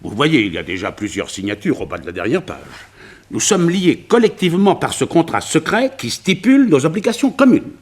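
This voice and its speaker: A man playing a wealthy, worried financier in a detective radio play, speaking formally, precisely and gravely. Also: fairly high pitch, big pitch swings, a little fast. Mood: grave, emphatic.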